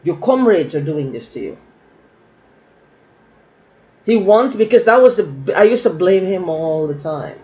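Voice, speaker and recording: A woman's voice: on the low side, animated, a little slow, long pauses, a little mellow. Rambling aggrieved woman, muffled recording. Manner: rambling, aggrieved, repeats herself. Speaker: vlogger. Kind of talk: rambling monologue vlog. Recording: dull lo-fi recording.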